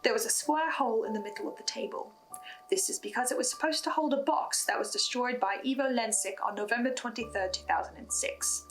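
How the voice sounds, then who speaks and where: A woman's voice: a little high, fairly quick, soft background music, rough recording. A woman presenting a scripted recap of a horror story, adding her own theories and asides. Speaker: host of a recap and theory video on a horror podcast.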